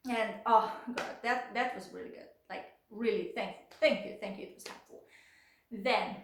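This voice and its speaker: A woman's voice: mid-range voice, normal speed, a bit bright, roomy sound, slight background noise. A young woman with a Hungarian accent, telling a casual storytime vlog in English and filling her talk with "like" and "you know". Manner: chatty, lots of filler "like" and "you know". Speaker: vlogger.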